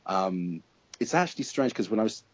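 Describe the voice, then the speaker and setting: Low husky man, casual film talk. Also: animated, normal speed, no room sound, dull audio. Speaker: guest film critic on a panel. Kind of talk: casual opinionated panel discussion.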